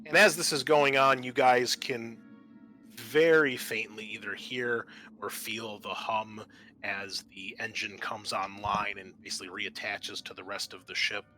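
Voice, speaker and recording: A man hosting a tabletop roleplaying game as game master, narrating off the cuff and pausing to think aloud. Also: on the low side, steady tone, bright, forceful tone, soft background music.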